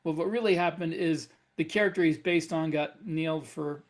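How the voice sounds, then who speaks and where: A man's voice: fairly high pitch, normal speed. A man co-hosting a podcast, talking hesitantly and searching for his words. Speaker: podcast co-host. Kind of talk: casual chat.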